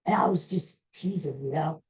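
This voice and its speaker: An older man with an American accent tells personal stories casually, in a halting, rambling, self-deprecating way. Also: on the high side. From Pennsylvania, USA. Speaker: podcast host. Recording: dull, muffled lo-fi audio.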